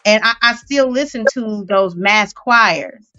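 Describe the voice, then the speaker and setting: A woman's voice: medium pitch, slow pace, sharp tone, faint music behind. A woman co-hosting a podcast, talking at length in a casual, rambling way. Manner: rambling, lots of fillers and restarts. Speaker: co-host. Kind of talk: casual interview chat. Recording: dull lo-fi audio.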